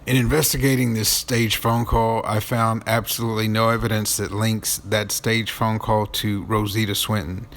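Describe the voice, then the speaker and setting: Lowish-voiced man giving pointed commentary. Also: flat delivery, moderate pace, few pauses, a bit bright. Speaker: narrator, commentator. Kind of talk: accusatory commentary.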